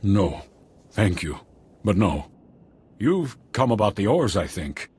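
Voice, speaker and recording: Game role: humble alien elder chief. Rough, breathy voice, dragging pace, grave and formal. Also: male voice, fairly low pitch, very animated, darkish tone, exaggerated voice. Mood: solemn, grateful. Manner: halting, formal, solemn phrasing. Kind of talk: video game voice acting.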